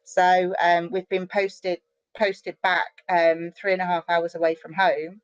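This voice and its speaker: A chatty, animated middle-aged woman from the UK talks as a guest over a video call, her sentences tumbling out with restarts and fillers. The sound is close and dry, a little dull and lo-fi. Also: lowish voice, unhurried, no echo. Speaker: guest, online marketer. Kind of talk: casual interview chat.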